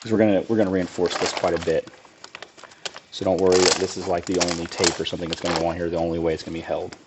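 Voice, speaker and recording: A man with a raspy voice from a cold, casually explaining a do-it-yourself build while he works on it. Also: deep voice, very fast, heavy background noise. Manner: plain-spoken, relaxed, thinks aloud with restarts. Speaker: lawn care business owner demonstrating a DIY build. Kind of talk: casual how-to narration while working.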